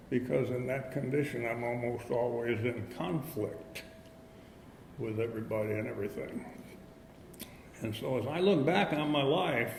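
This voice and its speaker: A man's voice: mid-range voice, creaky. Man in his eighties, folksy, echoey hall. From upstate New York. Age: 80s. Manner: folksy, halting, with many fillers and restarts. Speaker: AA speaker. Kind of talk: recovery talk at a meeting. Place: meeting hall. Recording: echoey hall, faint background noise.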